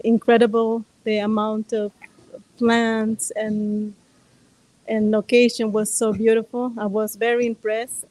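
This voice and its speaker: A woman, a resort manager answering interview questions in a hesitant, halting way. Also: mid-range voice, dark tone, dry recording, poor audio. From Costa Rica. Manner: hesitant, halting, with many fillers. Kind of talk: interview guest. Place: outdoor resort.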